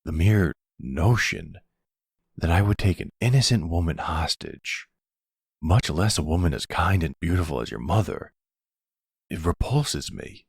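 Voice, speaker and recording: A man performs a suave middle-aged mafia boss for an ASMR-style boyfriend roleplay. His voice is low and gravelly, recorded dry and close. He is measured and wry, with command and a tender streak. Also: animated, no room sound, exaggerated voice. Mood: stern, menacing.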